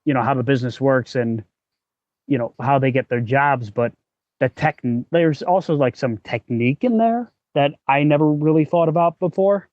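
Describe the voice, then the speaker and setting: A man's voice: medium pitch, normal speed, dry recording, muffled recording. A man co-hosting a podcast in a casual discussion, thinking aloud as he goes and swearing now and then. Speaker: podcast co-host.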